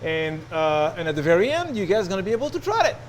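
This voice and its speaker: Male chef with a French accent, fairly high voice, casually showing how he cooks, slight background noise. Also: big pitch swings, quick pace. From France. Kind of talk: casual cooking demonstration. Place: restaurant-style kitchen. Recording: noisy kitchen ambience.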